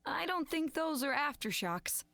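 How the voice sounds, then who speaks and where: An actress playing a level-headed, wry teenage girl cadet with a dry, teasing manner in an animated video game. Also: high-pitched, measured pace, gravelly, no room sound, heavily acted. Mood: wary.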